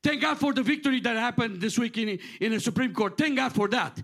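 A middle-aged man from Romania preaching with a high-pitched voice. He speaks earnestly in a repetitive preaching cadence and often stumbles and restarts his phrases. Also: fairly quick, fairly bright tone. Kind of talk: sermon.

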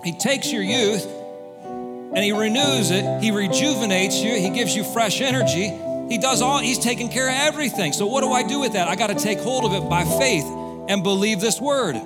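A man's voice: high voice, moderate pace. A man preaching a sermon as a pastor, in an emphatic, repetitive, conversational way with rhetorical questions. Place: large hall, church service. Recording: echoey hall reverb with music behind.

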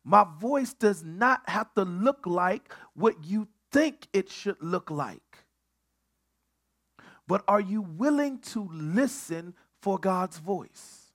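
A man's voice: sits high, animated, slow pace, fairly bright tone. A male pastor preaching at a midweek Bible study, building on repeated phrases and often stumbling over restarts.

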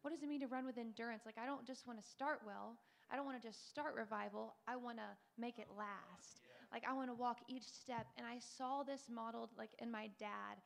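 A woman's voice: on the high side, steady tone, a little fast, few pauses. A young woman in her twenties giving a fervent preaching testimony on a church panel. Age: 20s. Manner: fervent, breathy preaching, mimics tongues. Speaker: panel speaker.

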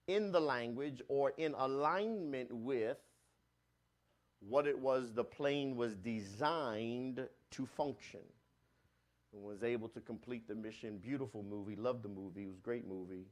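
A man pastor preaches at an even middle pitch. His delivery surges loud and high on key points, then sinks into quiet, low asides. He restarts and repeats phrases as he goes. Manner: swells louder on key points and drops low and soft on asides. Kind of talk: sermon.

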